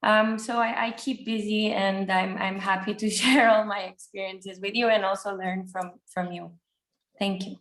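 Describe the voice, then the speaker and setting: Thirties woman from Cyprus, middle pitch, steady delivery, echoey room sound. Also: moderate pace. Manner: steady, fillers between phrases. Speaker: panel guest. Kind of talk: online panel introduction. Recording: roomy echo.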